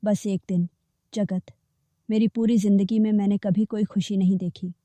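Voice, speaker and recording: A woman's voice: medium pitch, fairly even intonation, moderate pace, mellow, no echo, close to an everyday voice. A woman voicing a sorrowful pleading young wife. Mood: pleading sad. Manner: pleading, sad.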